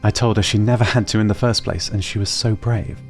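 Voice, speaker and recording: A man narrating a scripted audio drama in a calm, measured way. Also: lowish voice, soft background music.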